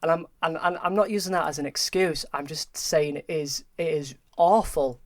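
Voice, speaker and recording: A young man in his early twenties, a podcast guest talking candidly and haltingly, often repeating words. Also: a little high, steady tone, dry recording. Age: early 20s. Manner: halting, repeats words, open about struggles. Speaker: podcast guest, film graduate. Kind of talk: candid conversation.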